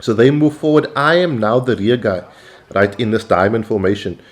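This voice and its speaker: South African man, low voice. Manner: measured, instructional, with frequent "um" and "uh" pauses. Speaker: gaming channel host. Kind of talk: gameplay commentary.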